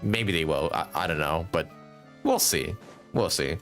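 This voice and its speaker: A man's voice: very deep voice, expressive, measured pace, a bit bright. A man livestreaming a game with casual commentary, musing and thinking aloud. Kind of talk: casual live gaming commentary. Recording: game music behind.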